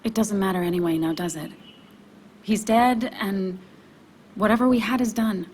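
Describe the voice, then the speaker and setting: An actress playing an emotionally raw but determined young woman in a video game, speaking in a halting, confessional way. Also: medium pitch, a little mellow, a little hiss or hum, close to an everyday voice. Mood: resolute, subdued. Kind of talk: naturalistic video game acting.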